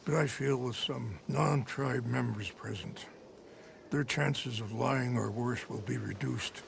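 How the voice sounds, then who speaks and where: Gravelly, dignified, weary chief. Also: male voice, medium pitch, slow pace, slight background noise. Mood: courteous, weary. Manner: polite, formal phrasing.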